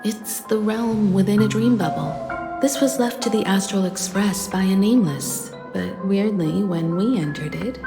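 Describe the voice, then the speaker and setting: A woman's voice: mid-range voice, normal speed, lightly acted. A woman acting a composed, assured, analytical mentor in a video game, poised and explanatory. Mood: thoughtful, reassuring. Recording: echoey sound with music behind.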